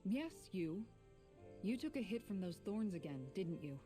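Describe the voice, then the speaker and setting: Casting: female actor as steady big-sister warrior, dark mellow tone, medium pitch, frank, anime game. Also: moderate pace, faint music behind, lightly acted. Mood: concerned. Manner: frank, sincere. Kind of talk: anime video game voice acting.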